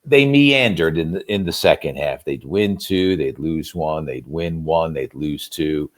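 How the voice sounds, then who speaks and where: A man's voice: a bass voice, lively intonation, unhurried. A man giving conversational sports analysis as a guest commentator, talking emphatically and posing rhetorical questions. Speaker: guest sports radio play-by-play announcer.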